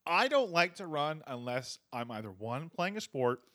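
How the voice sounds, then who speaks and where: A man's voice: fairly high pitch, moderate pace, dry recording, studio quality. A man hosting a podcast, chatting casually and joking with plenty of swearing. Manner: animated, profane, joking. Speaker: podcast host.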